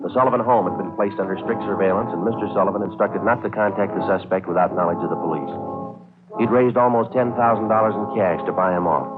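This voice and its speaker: A man's voice: fairly low pitch, fast talker, lightly acted. An American man playing a terse, businesslike police detective sergeant who narrates an old radio drama in a clipped, matter-of-fact way. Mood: terse, factual. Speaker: lead actor and narrator. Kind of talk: 1940s-50s radio police drama acting. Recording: narrow, tinny old radio recording, music.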